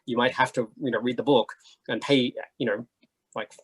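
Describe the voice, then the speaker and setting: A man speaking conversationally as a panelist in a casual discussion, animated and often checking in with the listener. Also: mid-range voice, moderate pace, slightly rough.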